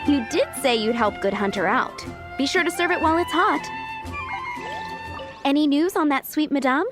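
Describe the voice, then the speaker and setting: A woman's voice: high voice, moderate pace, natural voice. An actress playing a young woman who runs a busy restaurant, earnest, flustered and businesslike, over game music. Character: young woman running a busy restaurant, harried but kind.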